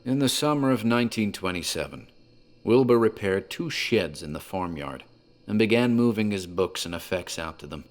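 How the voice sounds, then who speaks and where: Low male voice, actor as talkative country landlord, horror audio drama, measured storytelling, dramatic pauses, music underneath. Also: animated, close to an everyday voice. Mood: grave, hushed. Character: talkative rural tavern landlord recounting dark local tale.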